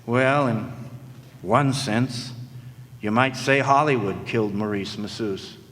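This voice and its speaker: An actor playing a wry, world-weary hard-boiled private eye, delivering deadpan, wordy lines in a noir radio play. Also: male voice, mid-range voice, slow pace. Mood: sardonic. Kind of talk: film noir radio detective acting. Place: live performance in a hall. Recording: echoey hall stage, faint noise.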